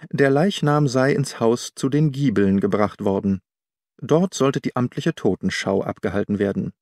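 A man's voice: mid-range voice, a little mellow, dry recording. Man narrating an audiobook, dry studio sound. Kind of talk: scripted literary narration, first-person detective story.

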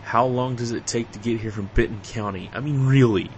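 A man's voice: mid-range voice, brisk, slight background noise, lightly acted. A man playing a weary, sarcastic, easily exasperated radio station worker in a comic audio drama.

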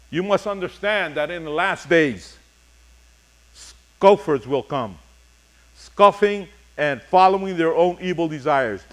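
Male pastor, middle-aged, slightly high voice, measured sermon delivery with deliberate pauses between phrases. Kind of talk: sermon with scripture readings. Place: church sanctuary, speaking from a pulpit on stage.

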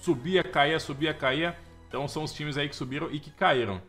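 A Brazilian man talks through his football game in a loose, slangy way, with his voice sitting high and ringing bright. He keeps up a casual let's-play chatter and turns loud when hyped. Faint game music plays in the background. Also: fairly quick. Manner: slangy, chatty, keeps saying "mano" and "velho"; gets loud and excited over the crowd. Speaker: gameplay commentator.

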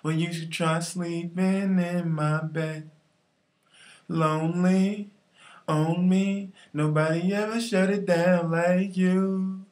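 A man's voice: sits high, level tone, slow pace, darkish tone. A young adult man casually singing in an amateur way and bantering between takes.

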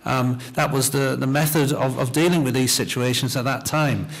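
A middle-aged man giving a halting academic answer from a panel into a table microphone in a lecture theatre. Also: mid-range voice, steady tone. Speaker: panel speaker.

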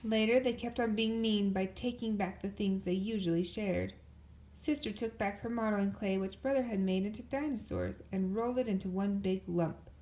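A woman reading a children's picture book aloud as an expressive storyteller. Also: medium pitch, normal speed, rough recording. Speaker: storybook reader.

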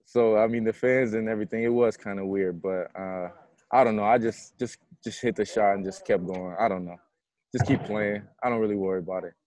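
Young man with a low-pitched voice. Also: steady tone, a little fast, a little mellow, dry recording. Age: young adult. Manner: informal, plain-spoken. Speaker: college basketball player. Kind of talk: post-game press conference answers. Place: indoor basketball arena, courtside. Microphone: earphone headset on a video call.

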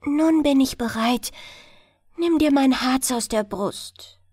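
A woman's voice: sits high, slow pace, a little mellow, no room sound, studio quality, voice pushed up. A woman voicing a kind, selfless little girl in a storybook, earnest and pleading. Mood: resolute.

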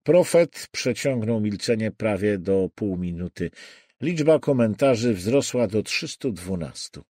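A man with a lowish voice narrates a suspense thriller audiobook. He takes his time with deliberate pauses, and his pitch moves in a lively, dramatic way. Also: no echo, clean studio sound.

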